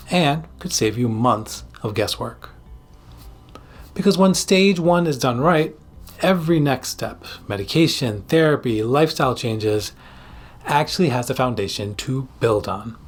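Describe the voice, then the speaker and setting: Man giving a scripted, emphatic explainer. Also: mid-range voice. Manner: emphatic, direct address to the listener. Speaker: psychiatrist and video host. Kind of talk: scripted educational explainer to camera.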